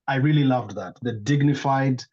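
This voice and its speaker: A man's voice: medium pitch, normal speed, warm tone, husky, muffled recording. A man from East Africa on a video call, earnestly sharing his thoughts point by point in a group discussion. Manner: earnest, appreciative, orderly points. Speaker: discussion participant.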